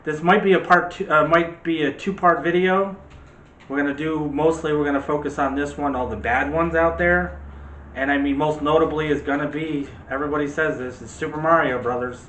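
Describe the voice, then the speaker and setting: A man's voice: fairly high pitch, brisk, sharp tone. A man hosting a movie discussion show in a casual, chatty and opinionated way. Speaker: host of a movie review show. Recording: echoey room.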